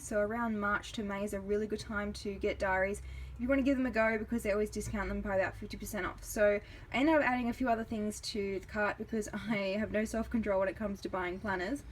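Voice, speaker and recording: A woman's voice: mid-range voice, fairly even intonation, fast talker, a little hiss or hum, rough recording. A woman with an Australian accent giving a casual product review as a vlogger.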